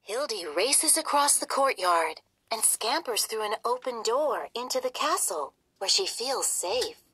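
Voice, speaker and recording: A woman reading a children's story aloud in an expressive, theatrical manner. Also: on the high side, a little slow, husky, no room sound, poor audio. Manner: animated, theatrical. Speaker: storybook reader.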